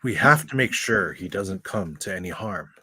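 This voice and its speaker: A man's voice: deep voice, lively intonation, moderate pace, pushed and bright, slightly rough, no room sound, heavily acted. A man playing a principled professor and mentor in a radio play, with a grave, firm manner. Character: stern, principled male mentor professor of superheroes. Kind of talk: radio-play script reading.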